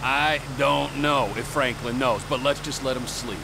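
A man's voice: medium pitch, steady tone, a little slow, creaky. An actor playing a volatile, menacing middle-aged criminal trucker in a video game, sardonic and profane, drawing out his words. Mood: evasive. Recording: vehicle noise behind the voice.

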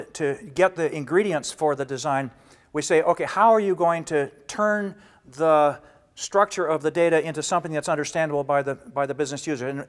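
Older man explaining a training course. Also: a little high. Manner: measured and explanatory, gesturing with both hands, frequent restarts. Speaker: data warehouse instructor. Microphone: lapel mic.

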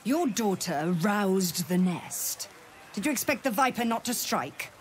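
A woman's voice: medium pitch, animated, a little slow, slight background noise, natural voice. A woman playing a haughty, cold, imperious druid leader in a video game. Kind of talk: video game character dialogue.

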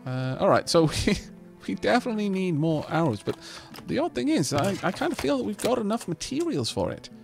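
A man's voice: sits high, big pitch swings, a little fast, gravelly, hardly any pauses, soft background music. A man whose first language is Welsh, chatting through a game and talking to his settlers. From Wales. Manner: chatty, talks to his settlers, self-deprecating. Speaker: let's play host. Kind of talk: casual gameplay commentary.

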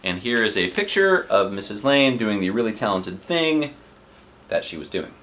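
A man in his forties with an American accent, reading a children's book aloud in an expressive, playful way with casual asides. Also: on the low side, normal speed. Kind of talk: animated children's storybook narration with casual asides. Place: living room, seated on a sofa. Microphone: camera a short distance in front.

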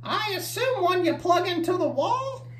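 A woman's voice: very high-pitched, sing-song, moderate pace. A woman voicing a friendly, curious, silly young monster puppet in a children's story time, chatty and polite. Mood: surprised, playful. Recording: roomy echo, faint background noise.

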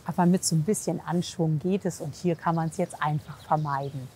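A woman's voice: low-pitched, fairly even intonation, normal speed, mellow, a little hiss or hum. A woman speaking casually and reassuringly as a horse physiotherapist, coaching and commenting. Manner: encouraging, reassuring, with frequent praise. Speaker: horse physiotherapist assessing horse and rider.

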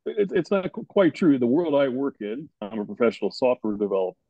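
A man's voice: mid-range voice, very animated, a little fast, mellow, no room sound. Emphatic man on dull dry call audio. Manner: emphatic, interjecting. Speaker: software developer participant. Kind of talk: casual video call discussion.

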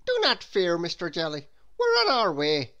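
A woman's voice: fairly low pitch, wildly swooping pitch, moderate pace, a bit bright, muffled recording. Woman playing a firm, helpful storybook policeman. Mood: reassuring. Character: steady, reassuring, helpful policeman.

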